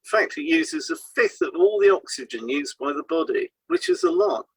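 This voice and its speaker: A man's voice: high voice, wildly swooping pitch, moderate pace, creaky, fairly bright tone, a little hiss or hum, low-quality recording. A man co-hosting an educational podcast, reading scripted explanations and stressing key points. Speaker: podcast co-host, hypnotherapist.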